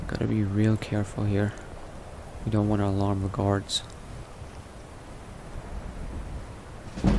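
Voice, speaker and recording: A man playing a cautious, brooding newly turned vampire, thinking aloud in hushed, halting phrases in a video game roleplay. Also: on the low side. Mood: wary, uneasy. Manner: hushed, halting self-talk with sighs and pauses. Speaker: roleplay gamer. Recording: noticeable background noise.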